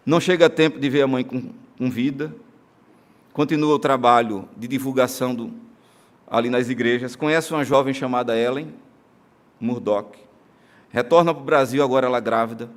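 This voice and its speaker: A male pastor with a Brazilian accent preaching a sermon in a church, earnest, moving between emphatic declarations and soft, slow solemn passages. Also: mid-range voice. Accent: Brazilian Portuguese. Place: church sanctuary during a live-streamed service.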